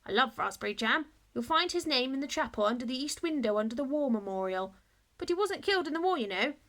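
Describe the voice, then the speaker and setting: Woman reading as a chatty male schoolmaster, high-pitched. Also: expressive, fast, a bit bright. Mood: gossipy, wistful. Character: chatty male history teacher at a boys' school.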